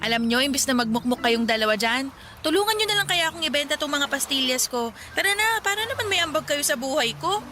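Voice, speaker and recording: A woman's voice: high-pitched, animated, fast, sharp tone, slight background noise. A bubbly teasing young woman. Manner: playful, bantering. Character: cheerful, teasing young woman selling sweets. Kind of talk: radio drama acting.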